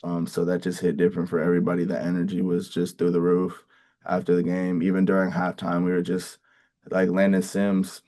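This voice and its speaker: A young man, a college football player from the Bay Area, answering interview questions in a casual, halting way with many ums and restarts. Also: deep voice, completely flat delivery, dark tone. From Bay Area, California. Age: young adult.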